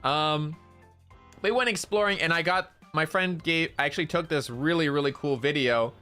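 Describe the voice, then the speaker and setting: Young male streamer, nasal, loud and slangy, bright edge. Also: a little high, normal speed, smooth, faint music behind. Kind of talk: casual stream chat.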